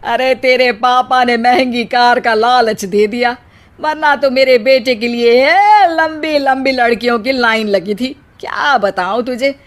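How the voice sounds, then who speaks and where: High, taunting cartoon mother-in-law voiced by a woman. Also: steady tone, measured pace, slight background noise, lightly acted. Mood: mocking. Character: sharp-tongued, mocking, greedy middle-aged mother-in-law.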